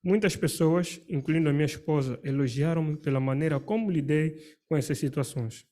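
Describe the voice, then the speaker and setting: A man reading a religious study text aloud to a congregation in a measured, formal way. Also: fairly high pitch, warm tone. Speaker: paragraph reader.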